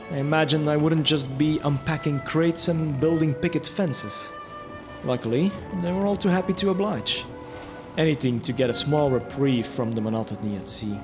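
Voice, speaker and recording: A man narrating a scripted fantasy audio drama in the first person, reflective, with wry asides. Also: mid-range voice. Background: music under the voice.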